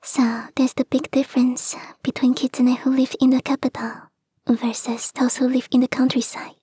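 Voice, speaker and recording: Woman voicing a high, whispery, clingy fox girl. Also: flat delivery, moderate pace, no room sound. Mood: coy, confiding. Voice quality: soft, breathy, often whispering. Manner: coaxing, sweet, playfully insistent. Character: clingy young kitsune fox girl, eager to marry. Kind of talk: intimate ASMR roleplay addressed to the listener. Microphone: close, dry microphone.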